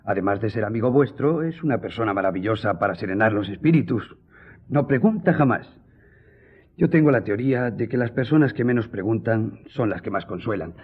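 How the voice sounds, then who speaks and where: An actor playing a loyal, reassuring gentleman editor in a radio drama, speaking earnestly and formally with a husky, dark-toned voice. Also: lowish voice, expressive, brisk, phone-quality sound, natural voice. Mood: consoling, tactful. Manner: earnest, consoling, formal address.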